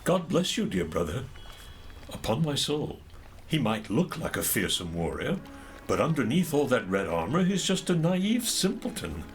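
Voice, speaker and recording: Man playing a courtly, avuncular nobleman, expressive. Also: mid-range voice, normal speed, soft background music, lightly acted. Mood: benign, curious. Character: courteous, eminent gentleman, shrewd and avuncular. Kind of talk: audio drama acting.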